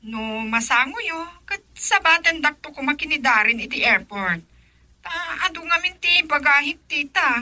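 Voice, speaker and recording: Eager filipino niece, very high and super bright, radio drama. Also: female voice, expressive, normal speed, exaggerated voice. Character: eager young niece asking a favour.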